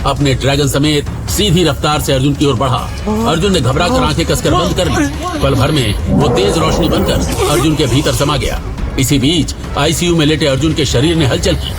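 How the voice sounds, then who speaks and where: A man's voice: fairly high pitch, normal speed. Male storyteller over dramatic music. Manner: dramatic, steady. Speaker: narrator. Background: background music.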